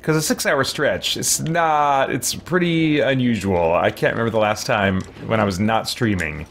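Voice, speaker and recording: A man in his thirties streams a video game and talks through it casually. He rambles and thinks aloud at a middling pitch. Game ambience and effects run clearly behind his voice. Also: normal speed, a bit bright, slight background noise. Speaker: live streamer, game player. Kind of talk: casual gaming livestream commentary. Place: small indoor room with shelves.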